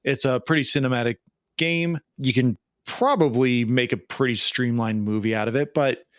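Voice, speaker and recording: A man with a medium-pitched voice chats casually as a podcast co-host. He is wry and sarcastic, and the sound is dry and close. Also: fairly even intonation, normal speed, no echo. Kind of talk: casual pop culture chat.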